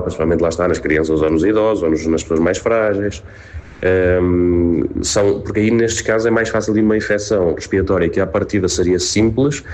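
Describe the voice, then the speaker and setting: A man's voice: deep voice, darkish tone. A man with a European Portuguese accent hosting an advice segment, explaining in a didactic way with questions and informal asides. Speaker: host of a health advice segment. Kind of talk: explanatory health talk to an audience.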